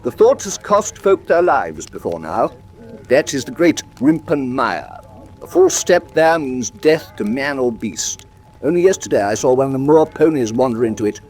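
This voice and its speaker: An actor playing an eager, talkative naturalist in a radio drama, with a polite, hesitant, wordy manner. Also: male voice, mid-range voice, big pitch swings, normal speed, darkish tone, faint music behind, close to an everyday voice. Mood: enthusiastic. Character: eager, talkative naturalist man living on the moor. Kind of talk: classic radio drama acting.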